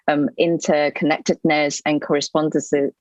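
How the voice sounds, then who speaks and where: Low-voiced Hong Kong woman reading poems. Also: little pitch movement, dry recording, low-quality recording. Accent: Hong Kong English. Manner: soft-spoken, measured, with frequent ums. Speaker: poet reading her work. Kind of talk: poetry reading with spoken introductions. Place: home, at an online reading. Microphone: computer mic on a video call.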